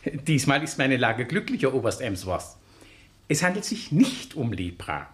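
Lively, courteous male physician. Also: mid-range voice, measured pace. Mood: formal, reassuring. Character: distinguished male medical specialist, courteous, measured, reassuring. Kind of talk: 1960s German radio drama acting.

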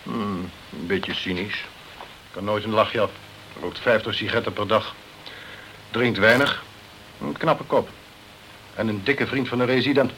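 An actor playing a sceptical, self-protective British consul in a crime radio play, with a condescending, chummy, blustering way of talking. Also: male voice, lowish voice, unhurried, long pauses, fairly bright tone, a little hiss or hum, low-quality recording. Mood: wry.

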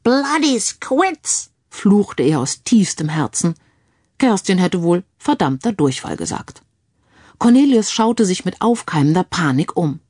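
A woman's voice: lowish voice, animated, measured pace, warm tone, slightly rough, dry recording, studio quality. A woman reading a scripted crime story as an audiobook narrator.

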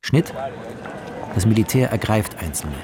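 A man narrating a radio play as a series of film scene directions, measured and clipped, with a creaky voice. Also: on the low side, animated, background noise.

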